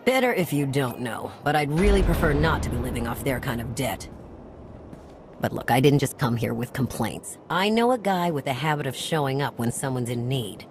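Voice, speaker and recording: Low-voiced wry smuggler woman. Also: lively intonation, normal speed, over music. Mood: frank, uneasy. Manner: informal, dry, frank. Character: pragmatic, wry female smuggler running supply lines. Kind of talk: video game cutscene acting. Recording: clear background noise and ambience.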